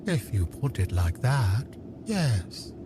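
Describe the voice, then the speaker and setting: An actor playing a grave, smug, scheming villain in an audio drama, measured and portentous in manner. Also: male voice, medium pitch, very animated, background noise. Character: scheming male manipulator, grave, smug, menacing.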